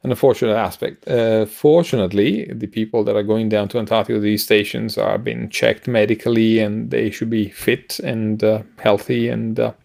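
A middle-aged man co-hosting a casual news discussion over a video call, speaking haltingly with frequent pauses. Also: fairly low pitch, steady tone, vocal fry, darkish tone. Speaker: podcast co-host. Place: home room, indoors.

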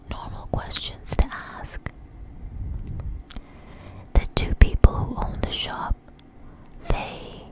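A woman telling a personal story in a close, breathy ASMR whisper, with halting, deliberate phrasing. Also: poor audio. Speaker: ASMR channel host. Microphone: close microphone.

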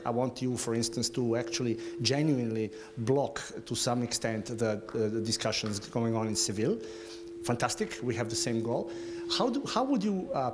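A man in the audience asking a provocative, playful question at a talk, acting out hypothetical scenarios. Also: mid-range voice. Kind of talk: conference Q&A. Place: gallery lecture room.

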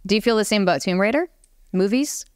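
A woman's voice: medium pitch, animated, fast, darkish tone, clean tone, no room sound, clean studio sound. A woman speaking frankly and casually as a panelist in a podcast discussion. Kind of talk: casual panel discussion about films and games.

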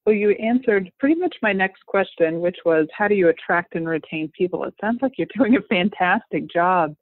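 A woman's voice: fairly low pitch, lively intonation, moderate pace, no echo. Woman podcast host, friendly questions, dry thin phone-ish sound. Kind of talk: interview questions. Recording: dry, tinny phone-like line.

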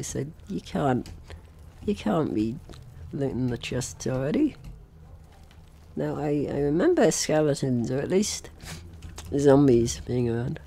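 A man giving casual let's play commentary, chatty and jokey, talking to himself and the game's enemies. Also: on the high side. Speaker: gaming channel host.

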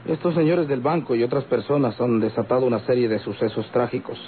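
A man's voice: medium pitch, steady tone, close to an everyday voice. Man acting formal Mexican police commander, tinny radio. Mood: grave concern. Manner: formal, measured, deliberate. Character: courteous, worried male police commander. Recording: tinny, hissy old radio recording.

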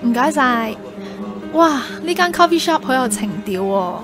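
A friendly, chatty young woman with a slightly high voice and a coy manner speaks Hong Kong Cantonese in a radio drama, with music clearly behind her. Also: animated, normal speed. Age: young adult. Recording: music bed underneath.

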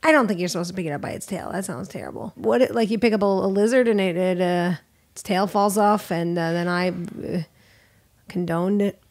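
A woman in her thirties, a podcast guest, chatting in a lively, teasing way, seated in a living room at a boom-arm studio mic. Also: brisk, no room sound. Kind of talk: casual chat. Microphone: studio mic on boom arm, headphones.

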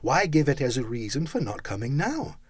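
Man playing an earnest young nephew, pleading, lively pitch, dry sound. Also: normal speed, breathy edge, no echo.

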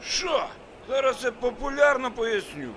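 A man's voice: extremely high pitch, animated, a little slow, exaggerated voice. A man voicing an opinionated, drunken market boozer in a cartoon, drawling. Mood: tipsy, insistent. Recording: loud street and traffic noise.

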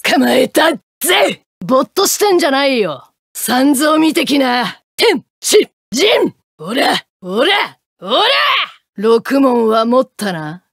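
A brash one-eyed swordswoman from a fighting game. Her voice sits fairly high, yet she talks in rough, mannish, taunting phrases, hungry for a fight. The recording is close and dry. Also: sing-song, slow, no room sound, exaggerated voice. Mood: fierce battle shouts. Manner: coarse masculine speech, taunting. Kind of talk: Japanese fighting game voice acting.

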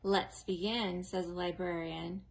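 A woman in her forties reading a picture book aloud to young children in a slow, exaggerated, sing-song way, recorded on a close webcam at home. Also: fairly low pitch, level tone, vocal fry. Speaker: children's librarian. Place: living room at home, then a library.

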